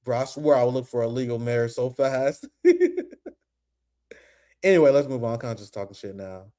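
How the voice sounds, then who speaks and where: A young man hosting a casual political commentary stream, animated and profane, laughing often. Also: medium pitch, a little fast.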